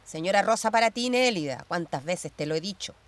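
Actress as an imperious, bitter Chilean ex-commander. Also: female voice, lowish voice, animated, brisk, lightly acted. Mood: stern, evasive. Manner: authoritative, sarcastic, cold. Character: Ingrid, older ex police commander, imperious, paranoid, bitter sister. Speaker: actor in a radio play. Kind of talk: Spanish-language radio theatre acting.

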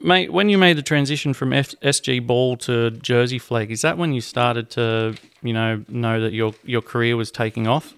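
A man's voice: medium pitch, moderate pace, hardly any pauses, clear, resonant tone, dry recording. A man hosting a podcast, asking questions in a friendly, easygoing way. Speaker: podcast host. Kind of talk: casual podcast interview.

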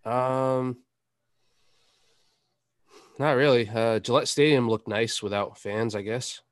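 Deadpan man, close dry-sounding recording. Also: mid-range voice, slow pace, dry recording. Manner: deadpan, laconic. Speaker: sports podcast co-host. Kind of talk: casual chat, sports banter.

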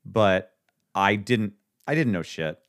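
A man with a low voice co-hosts a podcast in loose, casual chat. He sounds laid-back, laughs often and swears offhandedly. The recording is dry and close. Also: lively intonation, slow pace, no echo. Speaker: podcast co-host.

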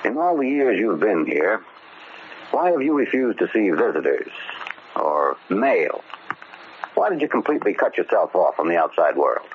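A tinny, narrow old radio recording with faint noise carries a deep American man's voice. a prison warden, paternal but exasperated, admonishing and questioning earnestly. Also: big pitch swings, moderate pace. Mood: probing. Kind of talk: old-time radio drama acting.

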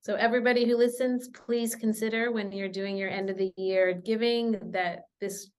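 Woman podcast host, middle pitch, relaxed conversational wrap-up. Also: moderate pace, a little hiss or hum. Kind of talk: casual podcast hosting.

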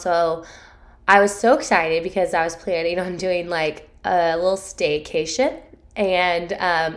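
A woman, hosting her podcast solo with a hoarse voice while recovering from a sore throat, rambling candidly with many fillers. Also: lowish voice. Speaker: podcast host. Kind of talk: casual solo podcast chat.

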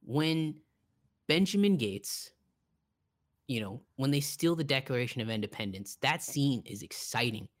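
A man with a mid-pitched voice speaks as a podcast co-host in casual conversation. He rambles and halts, restarting his thoughts and repeating phrases to drive a point home. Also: expressive. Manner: rambling, halting, repeats himself for emphasis. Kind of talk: casual film review chat.

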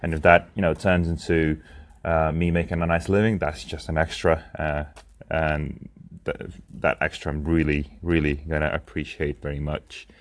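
A man's voice: rumbling low, little pitch movement, moderate pace. A young man from Lithuania, speaking English as a second language, talks through his plans in a reflective personal diary monologue, hesitating often with ums and restarts. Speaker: podcast host and founder.

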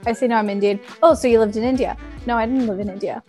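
A woman's voice: fairly high pitch, steady tone, racing, darkish tone, faint music behind. A young woman from Thailand, a podcast guest talking casually with chatty, self-deprecating humor.

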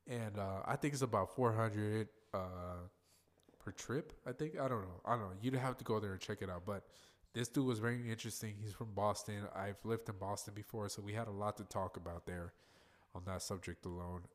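A man's voice: lowish voice, brisk. Congested, nasal male podcast host. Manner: rambling, profane, loose and chatty. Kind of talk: casual solo podcast monologue.

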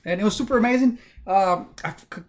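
Young man from South Texas, livestream host, halting, fillers and restarts, fairly high voice. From South Texas, USA. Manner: candid, personal. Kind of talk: casual livestream monologue.

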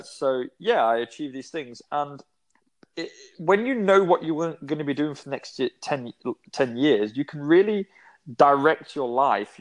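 Rambling young man with many fillers. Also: medium pitch. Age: 20s. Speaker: guest. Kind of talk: casual interview chat.

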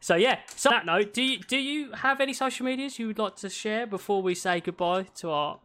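High-voiced, bright man. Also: a little fast. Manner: rambling, restarts, swears and laughs often. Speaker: podcast host. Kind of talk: casual podcast chat. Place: at home, recording over a video call.

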